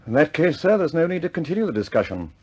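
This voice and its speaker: An actor playing a stubborn, understated British engineer in a vintage radio drama, measured and politely insistent. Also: male voice, fairly high pitch, very animated, darkish tone, breathy edge.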